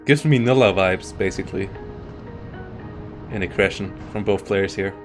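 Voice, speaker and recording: A man's voice: sits low, normal speed, faint music behind. A man commentating a live strategy game tournament, talking in loose, self-interrupting bursts. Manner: rambling, self-interrupting, frequent restarts. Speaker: esports caster.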